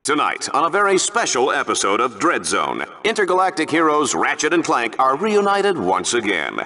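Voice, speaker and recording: A man's voice: medium pitch, huge pitch swings, normal speed, sharp tone, heavily acted. An actor playing a brash, smarmy TV announcer of arena combat in a video game, with hammy, punchy showman delivery. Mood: hyped showmanship. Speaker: sports show announcer. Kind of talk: over-the-top game show sports commentary.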